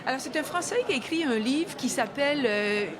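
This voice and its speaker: A woman's voice: high-pitched, lively intonation, brisk, over music. A chatty woman introducing an author on a live radio broadcast from a fair, using many fillers. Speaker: guest presenting an author. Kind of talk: casual radio interview. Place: immigration fair hall. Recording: live event with crowd behind.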